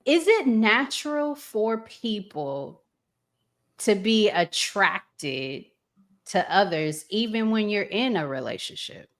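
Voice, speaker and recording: A woman's voice: medium pitch, big pitch swings, slow. A woman hosting a relationship discussion in an earnest, reflective conversational style, repeating phrases for emphasis. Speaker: relationship podcast host asking questions.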